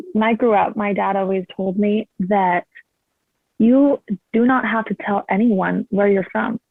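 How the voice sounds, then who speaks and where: A woman's voice: mid-range voice, dry recording. Woman on thin, phone-like call audio. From Canada. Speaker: meetup participant. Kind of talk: personal sharing in casual talk. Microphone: video call.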